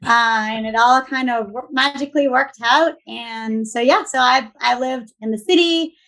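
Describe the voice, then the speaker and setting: Venezuelan woman in her 30s, chatty guest, kinda high and a bit gravelly. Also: normal speed. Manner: warm storytelling, lots of "like" and restarts, laughs easily. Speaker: guest, business owner. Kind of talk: casual interview.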